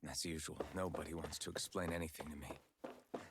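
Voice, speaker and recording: Deep, brooding young game hero. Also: male voice, steady tone, moderate pace, very noisy. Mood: bitter. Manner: dramatic, brooding, breaks into anguished cries. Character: embittered captive young male hero, anguished, homesick. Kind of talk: video game voice acting. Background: music.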